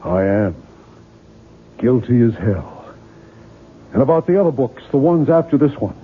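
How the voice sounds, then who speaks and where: Irritable novelist, tinny old radio. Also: male voice, medium pitch, expressive, a little slow, slightly rough. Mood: guilty, determined. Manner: curt, weary, grumbling. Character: irritable, guilt-ridden male novelist. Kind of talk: 1970s radio drama acting. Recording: muffled phone-like vintage radio recording.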